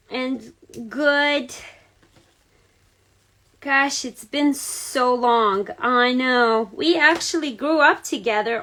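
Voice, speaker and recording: Fields: sex: woman. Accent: Serbian. Pace: slow. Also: sits high, a bit bright. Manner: chatty, greets viewers by name, many fillers. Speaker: card-making host. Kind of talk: casual crafting livestream chat. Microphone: phone or camera on a livestream.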